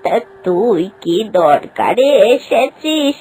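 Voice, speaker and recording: A woman voicing an elderly village aunt who is kind and wise and gives advice in a slow, gentle way. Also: fairly high pitch, very animated, husky, full character voice. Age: older. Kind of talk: animated cartoon voice acting.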